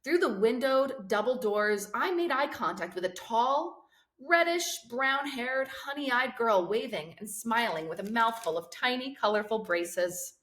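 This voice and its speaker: A woman reading a children's novel aloud in expressive storybook narration. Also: fairly high pitch, a little slow. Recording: roomy, echoing sound.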